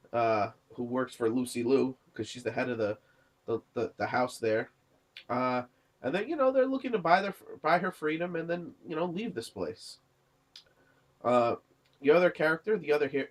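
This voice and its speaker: A young man under the weather talks through a movie review, coughing and clearing his throat as he goes. His voice sits at an ordinary pitch, and he rambles loosely with plenty of uh's and restarts. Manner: rambling, many fillers and restarts. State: sick, coughing. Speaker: movie reviewer, channel host. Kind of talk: casual spoken movie review.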